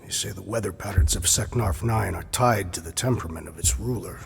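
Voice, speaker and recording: An actor playing a proud, literal-minded alien warrior in a video game, speaking in a blunt, formal, declamatory way. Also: male voice, deep voice, brisk, creaky. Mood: solemn.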